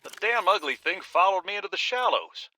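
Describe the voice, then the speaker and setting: A man playing a gruff, folksy outpost worker in a game audio log, grumbling colloquially as he tells creature tales. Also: high-pitched, very animated, fairly quick, bright, forceful tone, slight background noise, full character voice. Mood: grumbling, amazed.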